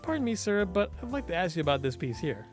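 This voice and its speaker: Man voicing an earnest, studious angel girl, lifted pitch. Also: very animated, quick pace, soft background music. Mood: polite.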